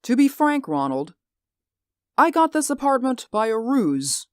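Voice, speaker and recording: An actor playing a bitter, vengeful middle-aged stage actor in an old radio drama, theatrical and gloating, dropping into quiet menace. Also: male voice, extremely high pitch, big pitch swings, measured pace, clear, resonant tone, dry recording, studio quality, full character voice. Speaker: villain. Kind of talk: 1940s radio acting.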